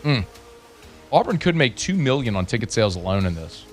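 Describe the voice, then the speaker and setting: A man hosts a sports talk radio show from a studio microphone, needling his co-host with playful, teasing questions. His voice swings widely up and down as he talks, at a middling pitch. Faint music sits underneath. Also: very animated. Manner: teasing, needling, quick back-and-forth.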